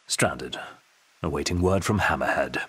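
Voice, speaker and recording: An actor playing a composed, pragmatic young male adviser in a video game, speaking in a measured, dry, precise way. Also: a bass voice, fairly bright tone, husky, heavily acted.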